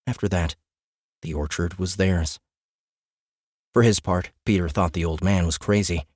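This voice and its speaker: A man's voice: deep voice, steady tone, a little slow, darkish tone. A man reading scripted fantasy fiction as an audiobook narrator, with a wry storytelling manner.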